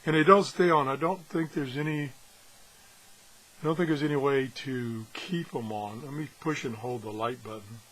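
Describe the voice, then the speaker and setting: A man with a slightly husky voice gives a casual, unscripted hands-on review, pausing and thinking aloud as he goes. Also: medium pitch, a bit bright, a little hiss or hum, rough recording. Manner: halting, thinking aloud as he handles things. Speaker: gadget reviewer and video host.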